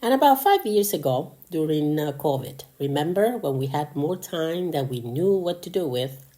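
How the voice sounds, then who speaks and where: A woman's voice: sits low, expressive, normal speed, dark tone. An older woman from Argentina with a Spanish accent, hosting a podcast in an earnest, personal, motivational monologue. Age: 60s or older. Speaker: podcast host.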